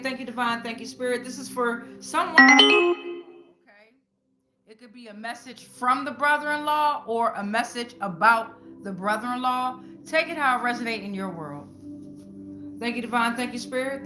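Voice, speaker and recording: A woman hosting a live tarot reading, talking informally to viewers with repeated phrases and frequent check-ins. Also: fairly high pitch. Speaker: tarot reader and live stream host.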